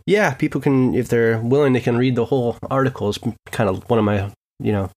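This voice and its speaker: A man explaining his own article as a guest on a casual podcast, in a measured, slightly rambling way. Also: medium pitch, a little mellow. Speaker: guest writer.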